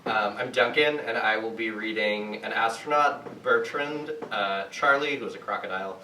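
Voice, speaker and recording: A young man haltingly introducing the parts he will read at a staged reading. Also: fairly low pitch, pushed and bright. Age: young adult. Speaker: reader introducing his parts. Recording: echoey room, distant mic, faint noise.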